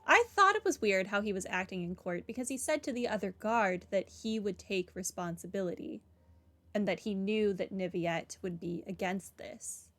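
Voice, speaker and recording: Gamer girl doing casual playthrough commentary, normal pitch woman voice, music in the bg. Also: lively intonation, moderate pace, a bit bright. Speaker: let's play gamer.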